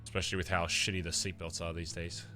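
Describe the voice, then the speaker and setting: A man's voice: low voice, level tone, fast talker. A young man streaming a roleplay game, rambling casually and swearing often. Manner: swears a lot, laid-back and rambling. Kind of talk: casual roleplay chat while gaming.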